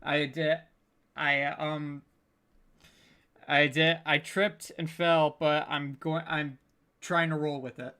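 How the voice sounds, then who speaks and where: A man's voice: a little high, flat delivery, slow, pauses often, pushed and bright, clean tone. A man hosting a casual unscripted panel, speaking in a hesitant, stammering, stop-start way and sounding apologetic. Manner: apologetic, self-deprecating. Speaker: panel host, audio drama producer.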